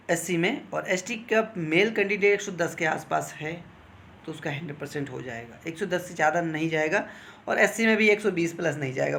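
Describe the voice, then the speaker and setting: Higher-pitched man explaining informally. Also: gravelly, fairly bright tone, a little hiss or hum. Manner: instructive, repeatedly checking in with listeners. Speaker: exam coaching teacher advising candidates. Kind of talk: informal explanatory talk on exam cut-offs.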